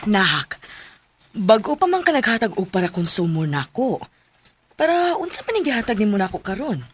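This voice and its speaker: A woman's voice: mid-range voice, very animated, normal speed, a little hiss or hum, close to an everyday voice. A woman with a Cebuano accent playing a protective middle-aged mother, easily exasperated, in a radio drama. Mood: gently declining. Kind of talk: radio drama acting and narration.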